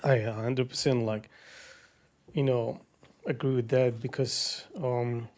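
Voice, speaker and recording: A man from Iraq hosts a podcast conversation. He speaks with feeling and often pauses to search for his words. His voice sits at a middle pitch. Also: fairly even intonation, darkish tone. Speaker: podcast host. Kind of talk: podcast interview.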